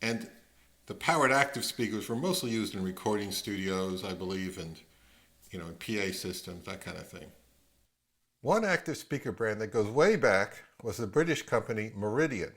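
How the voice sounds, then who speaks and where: A man's voice: on the low side, expressive, normal speed, fairly bright tone. A middle-aged man narrating a product review in a conversational, musing way, often posing rhetorical questions as the host.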